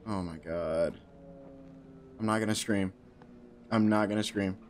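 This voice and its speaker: A man's voice: on the low side, fairly even intonation, a little slow, a little hiss or hum. A young man in his twenties streaming a horror game on a headset mic, joking nervously and talking to himself. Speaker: gaming streamer. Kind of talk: casual horror game commentary.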